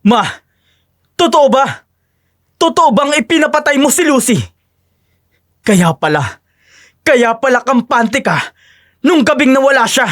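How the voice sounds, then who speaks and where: A woman's voice: sits high, sing-song, unhurried, pauses often. A woman voicing a shocked, distressed husband and son in a pleading, dramatic manner. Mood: shocked hurt.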